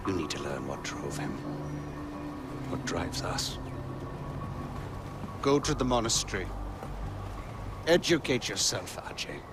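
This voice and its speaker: A man's voice: low voice, sing-song, takes it slowly, long pauses, heavily acted. A man playing a passionate, indignant rebel leader in a dramatic video game scene. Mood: solemn, urgent. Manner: passionate, intense, emphatic. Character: fervent, indignant male rebel leader, proud traditionalist. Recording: loud music behind. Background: loud music.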